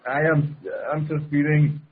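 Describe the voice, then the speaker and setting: Husky Swiss man on a phone line. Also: fairly high pitch, level tone. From Switzerland. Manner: halting, restarts phrases, laughs often. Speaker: guest. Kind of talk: casual interview. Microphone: telephone. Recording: phone-line call-in audio.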